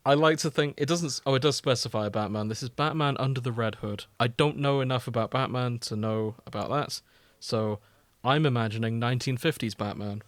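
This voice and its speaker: A man's voice: medium pitch, brisk, no echo. Man chatting, close dry sound. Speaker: podcast co-host. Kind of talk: casual chat about fandom.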